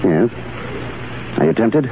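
Romantic lead: brooding, courtly Legion sergeant, expressive pitch, vintage radio drama sound. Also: male voice, slow pace, phone-quality sound, background noise, heavily acted. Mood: teasing, suave. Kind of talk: 1930s radio drama acting. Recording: vintage 1930s radio broadcast, band-limited, orchestral music.

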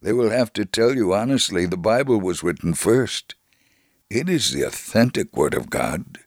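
A man reading a scripted first-person devotional narration in a measured, earnest, deliberate manner. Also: fairly low pitch, lively intonation, dry recording, clean studio sound. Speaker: reader of a devotional testimony.

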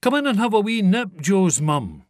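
A man voicing a jovial middle-aged man playing Santa in a deeper, rumbling, kindly voice. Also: sing-song, normal speed, no echo, clean studio sound.

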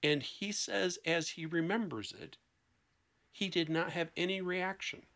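A man talking conversationally as a podcast guest, telling stories in deliberate, short emphatic phrases. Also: high-pitched, creaky, bright, forceful tone. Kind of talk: conversational storytelling.

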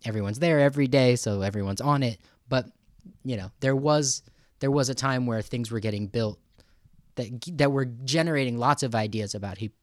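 A man hosting a podcast interview in a casual, halting, conversational way with an informal manner. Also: lowish voice, a little mellow, no room sound, studio quality.

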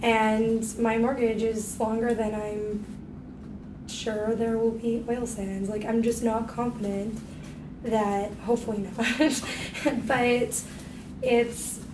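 A woman's voice: fairly high pitch, slow. A woman from Canada giving hesitant personal remarks as a participant in a group discussion. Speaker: meeting participant. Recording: distant mic, echoey noisy room.

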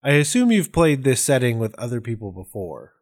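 A man's voice: mid-range voice, sing-song, fairly quick. A man hosting a casual podcast interview, talking in an effusive, somewhat rambling way.

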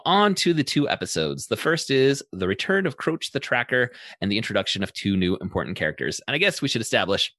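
A man's voice: medium pitch, sharp tone, no room sound, clean studio sound. A middle-aged man hosting a podcast in a conversational style. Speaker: podcast host.

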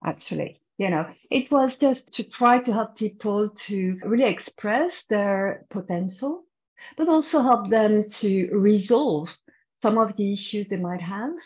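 A woman's voice: mid-range voice, a little slow. A middle-aged woman speaks with a French accent, patiently explaining her work as a guest coach. She thinks aloud and restarts her sentences as she goes. The sound is a little dull and muffled. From France. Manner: thoughtful, explanatory, with frequent restarts. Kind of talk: podcast interview.

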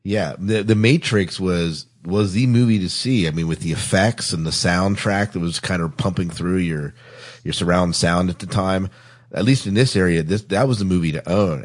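A man hosting a casual panel chat on a podcast, with a husky voice. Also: sits low, moderate pace. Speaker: podcast host.

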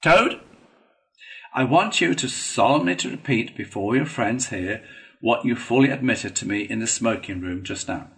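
A man's voice: mid-range voice, animated, normal speed, gravelly. A man voicing a stern, solemn older male badger from a storybook, eloquent and admonishing. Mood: solemn, firm. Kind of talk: storybook character reading.

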